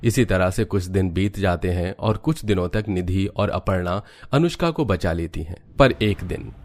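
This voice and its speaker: A man serves as narrator for a cartoon family tale. His voice is on the low side, and he delivers scripted storytelling narration. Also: expressive, moderate pace, a little mellow.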